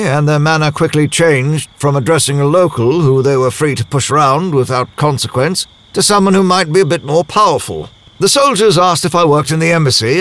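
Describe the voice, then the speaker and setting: A worldly male diplomat and spy played by an actor tells his own story in an audio drama. His voice sits fairly high for a man and moves with lively, varied pitch. The delivery is measured and dryly wry. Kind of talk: first-person audio drama narration.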